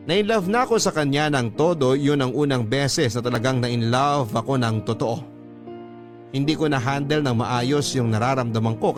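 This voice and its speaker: A man with a Filipino accent narrating a first-person letter as a radio storyteller. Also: mid-range voice. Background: background music.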